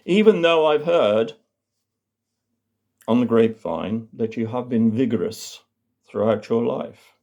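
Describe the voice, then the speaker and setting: A man voicing a posh English family doctor in a comic story, speaking formally and haltingly in careful euphemisms. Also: medium pitch, gravelly, a little mellow. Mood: delicate, reassuring.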